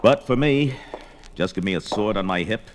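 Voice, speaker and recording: A man's voice: lowish voice, animated, moderate pace, noisy background, close to an everyday voice. An actor playing a brawny young barbarian swordsman in a fantasy audio drama, blunt, bold and declamatory. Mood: bold refusal.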